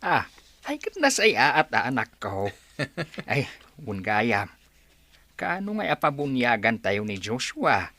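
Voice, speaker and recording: A man's voice: mid-range voice, wildly swooping pitch, slow pace, pauses often, sharp tone, no echo, heavily acted. An actor playing a doting, folksy old grandfather in an Ilocano-accented radio drama. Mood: interested, pleased. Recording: noisy ambience behind voice.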